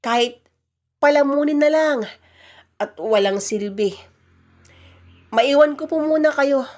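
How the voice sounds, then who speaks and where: A woman acts out a cocky married man in a radio-style story. The voice is high-pitched, with boastful, casual talk meant for drinking buddies. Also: slow, a little mellow. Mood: dismissive.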